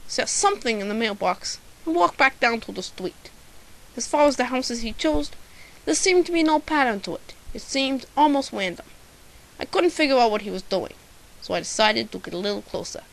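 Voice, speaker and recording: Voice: mid-range voice, animated, moderate pace, creaky, fairly bright tone. A young-sounding amateur actor playing a bumbling, pompous male private eye in a radio drama, theatrical and self-important. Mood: self-important narrating. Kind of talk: amateur radio drama detective narration and dialogue. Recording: hissy, noisy amateur home recording.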